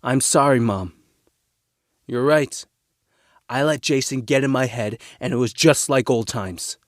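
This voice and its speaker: A man's voice: medium pitch, measured pace, no room sound, clean studio sound, lightly acted. A man playing an earnest, guilt-ridden teenage son in a naturalistic audio drama. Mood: apologetic.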